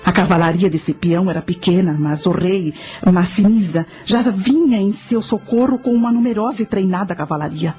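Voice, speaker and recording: A woman playing a knowing guide who narrates ancient history in a radio drama, measured and instructive. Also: lowish voice, mellow, close to an everyday voice.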